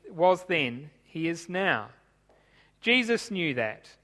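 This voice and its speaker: Guy preaching from his notes, kinda high bright voice, big echoey church. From Tanzania. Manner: measured, explanatory, reading from notes. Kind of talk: sermon.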